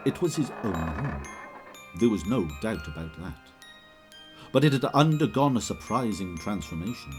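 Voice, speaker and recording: A man narrating an audio drama in measured, vivid storytelling. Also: lowish voice.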